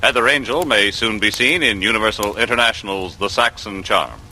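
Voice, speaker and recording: An American man reading scripted credits as a 1940s network radio announcer, with a husky edge to his voice. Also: lowish voice, brisk, pushed and bright, poor audio.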